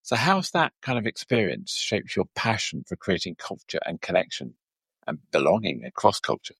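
Host: man, deep, husky, lively intonation, hesitant phrasing, dry sound. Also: normal speed, no room sound. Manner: hesitant, repeats words. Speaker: interviewer. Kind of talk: interview questions.

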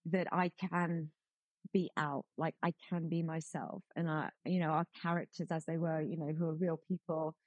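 A woman's voice: low voice, flat delivery, normal speed, no echo, lo-fi sound. A woman guest speaking enthusiastically in a loose conversational way, with fillers like "like" and "you know". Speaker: filmmaker, guest. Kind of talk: conversational discussion. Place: Texas.

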